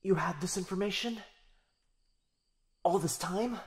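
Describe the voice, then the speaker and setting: A man's voice: high-pitched, unhurried, breathy edge, voice pushed up. A man playing an earnest young boyfriend held captive in an ASMR roleplay drama, urgent and strained, protective and defiant. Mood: shocked.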